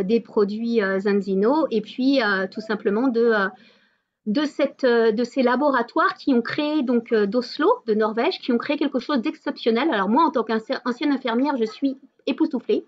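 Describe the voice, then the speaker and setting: A woman with a high voice hosts an online wellness meeting. She talks casually and hesitantly, restarting phrases and filling pauses. The audio is muffled and lo-fi. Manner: hesitant, many fillers and restarts. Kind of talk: casual spiritual wellness talk.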